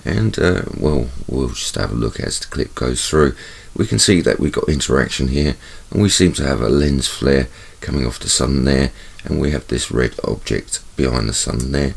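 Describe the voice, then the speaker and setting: A man's voice: very deep voice, gravelly, breathy edge. A man with a stuffy, bunged-up voice hosts his own channel, talking chattily over camera footage and repeating words for emphasis. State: congested, bunged up. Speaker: channel host. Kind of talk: casual video commentary over camera footage.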